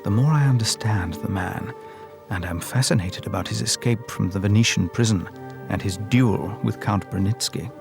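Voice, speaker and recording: An actor playing a thoughtful investigator, narrating a dramatised documentary in a measured, reflective, formal way. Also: male voice, on the low side, gravelly. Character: thoughtful male investigator narrating his research into old memoirs. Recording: music under the voice.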